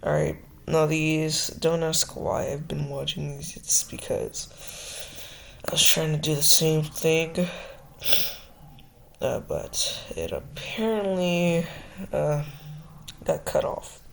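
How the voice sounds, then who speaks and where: A man's voice: fairly high pitch, takes it slowly, gravelly, fairly bright tone. A man hosting a reaction video, commenting in a casual, offhand and slangy way.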